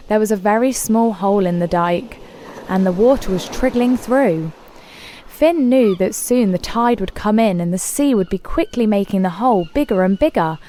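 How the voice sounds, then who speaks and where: A woman's voice: medium pitch, darkish tone, a little hiss or hum. A woman reading a children's story aloud as a scripted narration.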